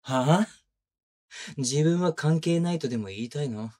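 A man's voice: fairly high pitch, expressive, measured pace, darkish tone. A man playing a sadistic detective, one of twins, taunting a suspect in a drawling, needling way in a close-miked ASMR voice drama. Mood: accusing, scornful. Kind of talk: Japanese situation voice drama, binaural ASMR. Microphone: close binaural microphone.

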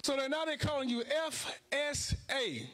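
A man's voice: very high-pitched, sing-song, normal speed, a bit bright. A middle-aged American man preaching a sermon, emphatic and colloquial, repeating phrases, speaking into a handheld microphone in a church. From Chicago and Houston, United States. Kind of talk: sermon to a congregation. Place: indoor church stage at a lectern.

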